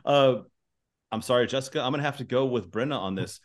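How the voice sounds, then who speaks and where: A man's voice: mid-range voice, quick pace, noisy background. A man hosting a podcast in a jokey, informal, conversational way. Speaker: podcast host. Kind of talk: casual comic chat.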